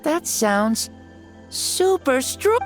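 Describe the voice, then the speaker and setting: A woman's voice: high voice, very animated, extremely slow, heavily acted. A woman voicing a curious, eager little boy in a video game. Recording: music behind the voice.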